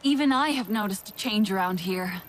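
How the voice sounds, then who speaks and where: An actress playing an earnest, steady young woman warrior in a video game. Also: mid-range voice, normal speed, faint music behind, close to an everyday voice. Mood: gentle.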